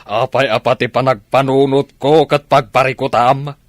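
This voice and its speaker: A man's voice: medium pitch, steady tone, normal speed, no room sound, clean studio sound, close to an everyday voice. A man playing an evasive middle-aged philandering husband in a radio comedy drama. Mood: defensive.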